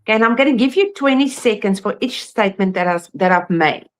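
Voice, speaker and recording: Woman, podcast host, pet nutritionist, emphatic, earnest, mid pitch. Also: lively intonation, fast. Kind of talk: casual podcast chat.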